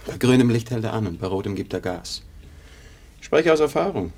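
Blunt, husky young brother. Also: male voice, on the low side, sing-song, fairly quick, a little mellow, exaggerated voice. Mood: blunt, wry. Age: young adult. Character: blunt, brash younger son, woman-chasing brother. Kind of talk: 1950s German radio drama acting.

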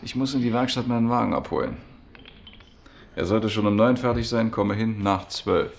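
Casual wry everyman, low creaky voice, colloquial, offhand delivery, radio drama. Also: normal speed. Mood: casual, joking. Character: casual, wry, sporty man hiding unemployment. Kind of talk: naturalistic radio drama acting.

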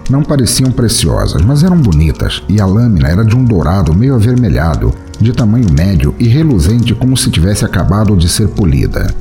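A man giving a theatrical, scripted narration of a dark fantasy tale as a storyteller. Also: low-pitched. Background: music.

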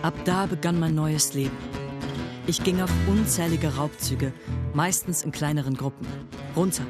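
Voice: medium pitch, steady tone, moderate pace, natural voice. A performer playing an adventurous Apache raider who vividly recounts a youth of raids in a German radio drama. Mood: proud, reminiscing. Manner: reminiscing, vivid. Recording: loud guitar music behind.

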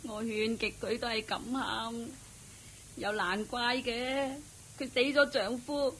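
An actress playing an anxious, helpless wife in a Cantonese radio drama, with a husky, tearful, drawn-out Hong Kong Cantonese delivery. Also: female voice, on the high side, fairly even intonation, fairly bright tone, a little hiss or hum. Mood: worried, plaintive. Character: anxious, helpless wife of a rich old master.

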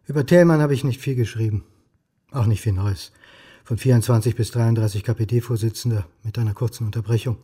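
An actor in a radio crime drama playing a stubborn, irritable historian, speaking in a dry, clipped, sardonic way. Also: male voice, medium pitch, a little fast, warm tone, husky, lightly acted. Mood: dry, matter-of-fact. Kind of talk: German crime radio play acting, narration and dialogue.

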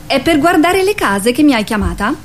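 A woman's voice: high voice, sing-song, moderate pace, slight background noise. An actress playing a sceptical, teasing young girlfriend in a radio play, with dry, curt replies. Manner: dry, doubting, curt interjections. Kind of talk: radio theatre acting.

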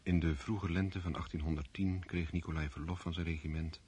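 A man narrates a radio drama in a formal, measured way. His voice is very low and husky. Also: steady tone, a little mellow. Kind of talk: scripted radio-play narration.

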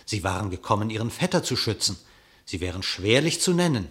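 A male narrator tells a verse story for a classic radio drama. His voice sits on the low side, with formal scripted delivery. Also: sing-song, a little fast, a bit bright.

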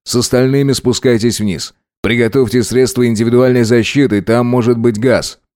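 A man's voice: medium pitch, fairly even intonation, moderate pace, darkish tone, dry recording, studio quality, close to an everyday voice. A man voicing a callous, irritable lieutenant colonel who hisses and barks his words in a military horror story. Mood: commanding. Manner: barking orders, hissing, irritable. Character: harsh, callous, commanding male army lieutenant colonel.

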